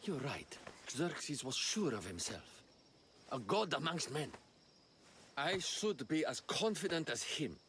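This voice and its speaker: An actor playing an anxious, dramatic stage performer in a video game, earnest and uneasy in manner. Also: male voice, fairly high pitch, sing-song, slow pace, a bit bright, slight background noise, heavily acted. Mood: growing confidence. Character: anxious, rattled stage actor craving godlike confidence. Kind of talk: video game cutscene acting.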